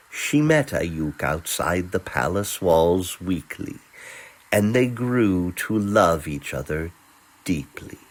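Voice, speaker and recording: A man tells a fantasy romance in dramatic scripted narration. His voice is husky and slightly low, moving expressively through tender, theatrical phrases with unhurried pauses. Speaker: storyteller.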